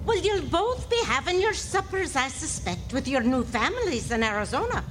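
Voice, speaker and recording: Woman acting a high-voiced, stern but kindly nun. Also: very animated, moderate pace, fairly bright tone, slight background noise. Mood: patient, kindly. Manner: admonishing, old-fashioned motherly phrasing. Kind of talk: radio play acting.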